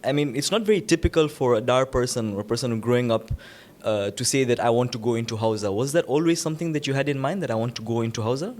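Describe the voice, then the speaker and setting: Male host, medium pitch, friendly and inquisitive, leading a conversational interview. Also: fast talker, few pauses, darkish tone. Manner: upbeat, curious questioning. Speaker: podcast host. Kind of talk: podcast interview.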